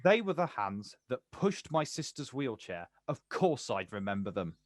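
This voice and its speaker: A man acting a watchful, quietly wounded poet in a naturalistic stage play, speaking deliberately in terse, repeated phrases. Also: medium pitch, big pitch swings, no echo, clean studio sound, close to an everyday voice. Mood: accusing, hurt.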